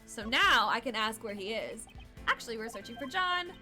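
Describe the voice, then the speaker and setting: A woman voicing a composed male prosecutor in a video game, speaking in a formal, measured, probing way. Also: on the high side, lively intonation, sharp tone, faint music behind. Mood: polite, probing.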